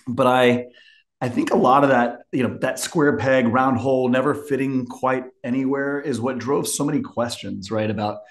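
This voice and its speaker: A man's voice: medium pitch, steady tone, moderate pace. A man in his forties, born in London and raised in Turkey and Texas, talking as a podcast guest in a loose, rambling way with many fillers and restarts. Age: 40s. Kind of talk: casual interview talk. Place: home office in Chicago.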